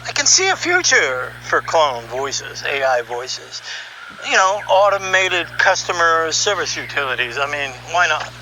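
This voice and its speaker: A man's voice: a little high, big pitch swings, a little slow, bright, forceful tone, slight background noise, low-quality recording. A middle-aged man telling a first-person story conversationally, reflective and emphatic, repeating phrases to make his point. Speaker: narrator, voiceover producer.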